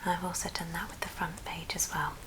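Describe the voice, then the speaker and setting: Woman, whispered ASMR craft host, lowish pitch, very unhurried, clearly noisy recording with clicks. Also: steady tone. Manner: whispering throughout. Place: at a friend's house, indoors. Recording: noticeable background noise and clicks.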